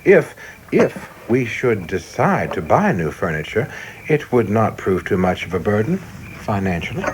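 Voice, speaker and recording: A man's voice: fairly low pitch, sing-song, normal speed, a little hiss or hum, low-quality recording. A man playing a prim, earnest young government man in a radio play, speaking in a stiff, precise and deferential way. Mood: formal, fussy. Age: young adult.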